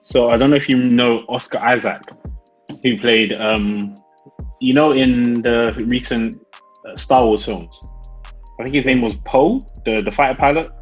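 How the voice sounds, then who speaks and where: Male podcast co-host, medium pitch, informal and slightly hesitant, doubles back on words, faint music underneath. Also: measured pace, slightly rough. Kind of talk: casual chat among friends.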